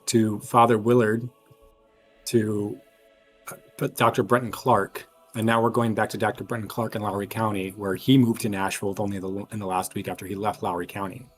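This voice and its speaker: A man with a husky voice casually discussing clues in a tabletop role-playing game. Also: lowish voice, level tone, brisk, faint music behind.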